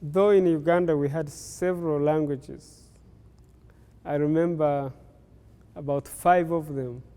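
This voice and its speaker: An older man from Rwanda, a native Kinyarwanda speaker, recalling his life story slowly, pausing often with fillers. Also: fairly high pitch, steady tone, mellow, clean tone, in a large hall. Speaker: interviewee. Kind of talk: slow life-story recollection.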